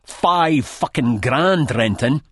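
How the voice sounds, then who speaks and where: Audiobook role, acted by a male narrator: vengeful man, theatrical, husky and barking, pitched up with wild swings. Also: lively intonation, slow pace, a bit bright, no echo, clean studio sound, heavily acted. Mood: furious. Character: angry, vengeful, self-dramatising male swindled old friend.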